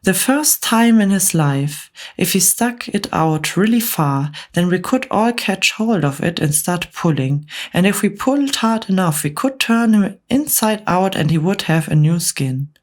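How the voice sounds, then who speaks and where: A woman's voice: lowish voice, lively intonation, unhurried, hardly any pauses, voice pushed up. A woman reading aloud, voicing an earnest earthworm in a slightly raised voice.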